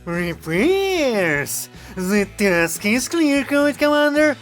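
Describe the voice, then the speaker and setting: A woman's voice: a little high, very animated, slow, soft background music. A woman voicing an earnest, dutiful young woman soldier in a story.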